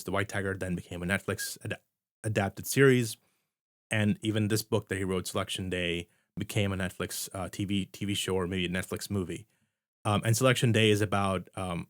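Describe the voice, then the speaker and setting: A man's voice: fairly low pitch, no room sound, clean studio sound. A man from India with an American accent, giving casual, rambling commentary full of fillers and restarts. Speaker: video essayist.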